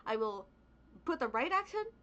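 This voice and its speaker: A woman's voice: a little high, big pitch swings, moderate pace, pushed and bright. A young woman whose first language is Spanish, rambling in a casual, profane rant as a VTuber streamer, peppered with "like" and "right?". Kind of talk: casual ranting monologue. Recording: dull lo-fi recording.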